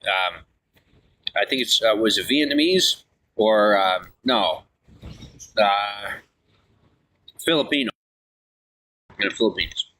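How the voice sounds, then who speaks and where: A man's voice: on the low side, animated, takes it slowly, pauses often, sharp tone, poor audio. Man rambling to his livestream chat. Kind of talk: casual rambling chat, reading and answering messages.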